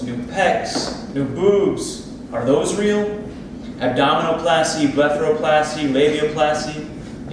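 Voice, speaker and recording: Male actor as boastful surgeon husband, slightly high voice, theatrical salesman's patter, recorded in a very echoey room. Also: natural voice. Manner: rhetorical, self-assured, salesman-like. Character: smug, ambitious plastic surgeon husband, boastful about his work. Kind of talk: theatrical monologue at a staged play reading. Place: indoor theatre space, distant microphone. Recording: echoey, very noisy room from far off.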